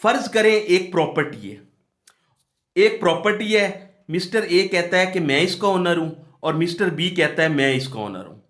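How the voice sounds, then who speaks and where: Pakistani guy teaching, kinda high voice, slow, reads then explains, roomy echo. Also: animated, a bit bright. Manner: explanatory, repeats phrases, switches between reading slowly and explaining. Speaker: law lecturer. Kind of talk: exam-focused lecture, reading statutes aloud and explaining with examples. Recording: echoey room.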